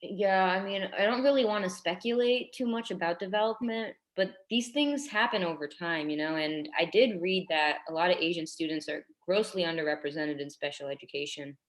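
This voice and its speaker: Woman acting low-pitched, hesitant young schoolteacher. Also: natural voice. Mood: earnest, confiding. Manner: hesitant, delicate, halting. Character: earnest, tactful young schoolteacher in her twenties. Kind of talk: staged reading of a contemporary drama.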